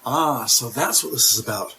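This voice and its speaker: A man's voice: medium pitch, animated, moderate pace, bright, forceful tone, recorded from a distance, a little hiss or hum, low-quality recording. A man reading scripted dramatic narration as the narrator of an audio drama. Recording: music bed behind.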